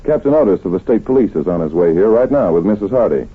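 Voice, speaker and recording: An actor playing a shrewd, courteous investigator in an old radio mystery, probing with measured confidence. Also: male voice, on the low side, lively intonation. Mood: assured. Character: shrewd, polite, self-assured male investigator doctor. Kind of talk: 1940s radio drama acting. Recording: tinny, husky-sounding old radio recording.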